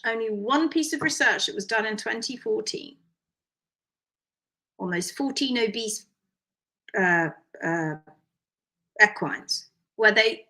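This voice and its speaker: A hoarse woman with a croaky voice from strained vocal cords, a hoof care instructor talking animatedly and reading out viewer comments in a live session. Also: a little high. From United Kingdom. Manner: animated and emphatic, quick asides.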